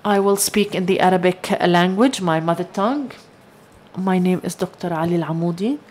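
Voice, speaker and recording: A woman giving simultaneous interpretation of a lecture, halting often with long hesitations. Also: on the low side, a little mellow, slight background noise. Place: lecture hall.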